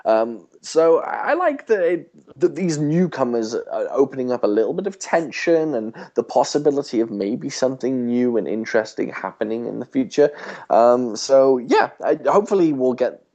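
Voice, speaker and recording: A man's voice: mid-range voice, animated, normal speed, warm tone. A man co-hosting a podcast in casual chat, hesitant with many restarts and fillers. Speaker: podcast co-host.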